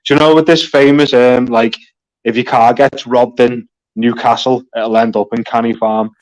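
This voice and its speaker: A man's voice: mid-range voice, level tone, moderate pace, rough recording. A Scouse man chatting casually with a mid-range voice. He rambles, restarts often and swears without a second thought. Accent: Liverpool (Scouse). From England. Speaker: guest. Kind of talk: casual chat.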